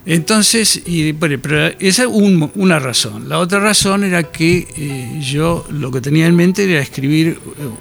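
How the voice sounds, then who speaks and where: An older man from Argentina with a Rioplatense accent, an author answering interview questions in a halting, reflective way with many fillers. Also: on the high side, creaky, soft background music. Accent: Rioplatense Spanish. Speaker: author interviewed about his novel.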